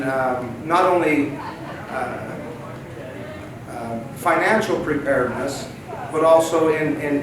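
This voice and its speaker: A man introducing a church lesson, earnest and halting, often repeating his words. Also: a little high. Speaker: bishopric member leading a church lesson. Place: church meeting room. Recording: echoey hall, distant mic, noisy.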